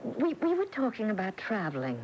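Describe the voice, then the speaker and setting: An actress playing a middle-aged wife, coaxing and pleading persistently in a radio drama. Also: female voice, mid-range voice, huge pitch swings, a little fast. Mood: persuasive. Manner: pleading, insistent, affectionate. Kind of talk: 1980 radio drama acting. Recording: dull hissy AM radio broadcast tape.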